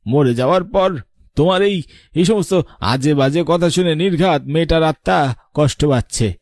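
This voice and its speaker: Man acting reproachful husband, slightly high voice. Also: a little mellow, dry recording, clean studio sound, lightly acted. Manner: scolding, weary, moralising. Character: reproachful older husband, grieving father-in-law. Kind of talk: animated horror story drama dialogue.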